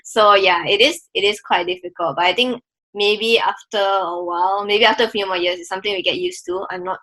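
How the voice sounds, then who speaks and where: Chatty young Malaysian woman, bright voice. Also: mid-range voice, expressive, brisk, low-quality recording. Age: 20s. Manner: chatty, many "like"s and fillers. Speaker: podcast co-host. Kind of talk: casual podcast chat.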